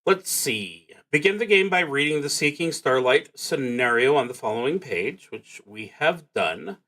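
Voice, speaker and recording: A man hosting a solo tabletop roleplaying stream, casually explaining rules and haltingly thinking aloud as he reads. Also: mid-range voice, lively intonation, pushed and bright.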